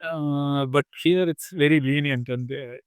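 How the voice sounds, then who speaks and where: A young man from India, hosting a casual chat and often pausing, repeating words and using fillers. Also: on the high side, steady tone, clean tone, no echo, studio quality. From Kerala, India. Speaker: podcast host. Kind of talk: casual conversation.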